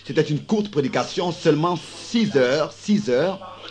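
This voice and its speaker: A hoarse man preaching a sermon in a school auditorium, speaking with a slow, halting delivery and frequent restarts. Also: a little high, animated, darkish tone.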